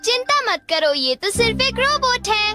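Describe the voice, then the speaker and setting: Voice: extremely high pitch, very animated, normal speed. An actor voicing a chirpy, well-meaning robot cat sidekick in a Hindi cartoon dub, chatty and helpful. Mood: reassuring. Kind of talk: Hindi-dubbed cartoon acting. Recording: loud music under the voice.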